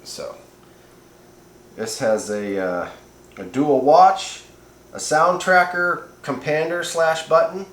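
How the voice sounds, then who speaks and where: A man's voice: a little high, slow pace, pauses often, fairly bright tone. A man giving a casual, rambling, off-the-cuff product review in a laid-back, colloquial way. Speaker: host of CB radio review videos. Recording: echoey room sound.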